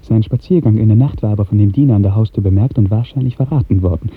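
A man narrating a literary radio drama in 1950s style, with measured, expressive storytelling. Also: on the low side, breathy edge, dry recording. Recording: muffled, tinny 1950s radio recording.

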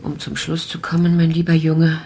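An actress playing an ailing, resolute elderly mother in a German radio crime drama, speaking in a halting, measured, intimate way. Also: low voice, little pitch movement, vocal fry, a little mellow, faint music behind. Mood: resigned, grave. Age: older.

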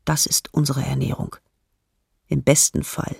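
An actress playing a weary schoolteacher in a radio drama, reading terse diary entries in a raspy, gravelly voice. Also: female voice, extremely low pitch, level tone, unhurried, darkish tone, dry recording, studio quality, exaggerated voice. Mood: weary, bleak. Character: weary female teacher recording hunger in her diary.

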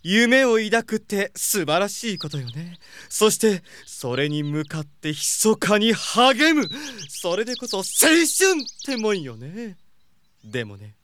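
A male actor playing a flamboyant effeminate man in an audio drama, with feminine phrasing and dramatic, drawn-out delivery. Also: sits high, sing-song, a bit bright, slight background noise, full character voice. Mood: wistful, theatrical. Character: flamboyant, theatrical effeminate man reminiscing about youthful stage dreams. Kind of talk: Japanese audio drama acting.

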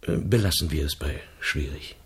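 Very husky, resentful put-upon secretary. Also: male voice, extremely low pitch, moderate pace, heavily acted. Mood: polite, evasive. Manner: hesitant, guarded, bitter under his politeness. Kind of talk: radio play acting.

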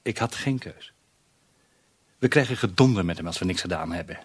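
An actor playing a middle-aged, sociable but firm business colleague, tactful and insistent, in a radio drama. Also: male voice, deep voice, animated, moderate pace, slightly rough. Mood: concerned, insistent.

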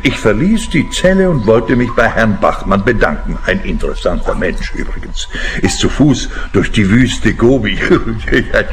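An actor playing an eccentric, shifty married client in a radio play, rambling and hesitant with a pedantic streak. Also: male voice, fairly low pitch, big pitch swings, unhurried, background noise. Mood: self-amused, chatty. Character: eccentric, chatty married businessman client, shifty and self-amused. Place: radio drama studio.

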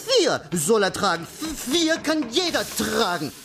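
Slow, stammering bully boy. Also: a little high, sing-song, background noise. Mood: menacing, mocking. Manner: halting, bossy, threatening. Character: dim-witted, bullying boy overseer in a tannery. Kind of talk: children's radio play acting.

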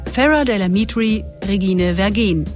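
A woman's voice: mid-range voice, normal speed. A woman announcer reading the credits of a radio drama in a formal, scripted way. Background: music.

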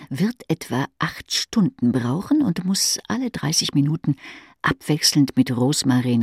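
Female audiobook narrator, husky voice, dramatic literary read, very dry recording. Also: very deep voice, darkish tone, no echo. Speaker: audiobook reader. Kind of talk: scripted audiobook narration in second person.

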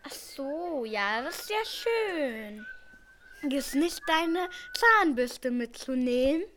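An affectionate mother in a school audio drama, played by a girlish performer. The delivery is slow and simple, in a high childlike voice. Faint noise sits behind it. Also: lively intonation, bright, forceful tone, heavily acted. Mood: caring. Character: affectionate, caring mother of a young daughter. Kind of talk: amateur school radio play. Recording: noisy amateur school recording.

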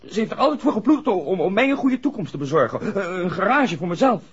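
A man's voice: sits high, sing-song, quick pace, slightly rough, heavily acted. An actor playing an anxious, earnest young man who speaks hesitantly and stammers, in a 1960s Dutch radio drama. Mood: distressed. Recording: dull 1960s radio recording.

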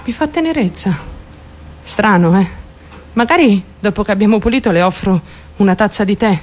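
A woman's voice: mid-range voice, normal speed, close to an everyday voice. An actress playing a troubled, volatile young woman in a dramatic radio monologue, intense and raw as she recounts her traumatic story. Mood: numb, fragile. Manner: intense, swinging between outcry and hushed narration.

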